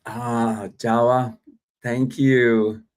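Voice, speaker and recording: A man's voice: medium pitch, extremely slow. A man from Australia, an actor, chatting casually on a phone live stream, thanking viewers by name and laughing easily. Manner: relaxed and friendly, talks to viewers by name, laughs often.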